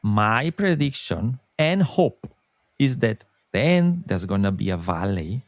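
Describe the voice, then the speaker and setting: A man's voice: fairly low pitch, sing-song, slow, no echo, clean studio sound. A middle-aged man from Italy, a native Italian speaker with an Italian accent, talking earnestly as a guest in a podcast interview and explaining his views through analogies and rhetorical questions. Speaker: podcast company co-founder, guest.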